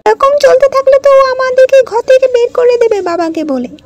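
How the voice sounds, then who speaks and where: A woman doing a shrill, jealous young stepsister. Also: animated, brisk, darkish tone, lo-fi sound. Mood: whining. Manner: whiny, shrill, tattling. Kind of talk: cartoon voice acting.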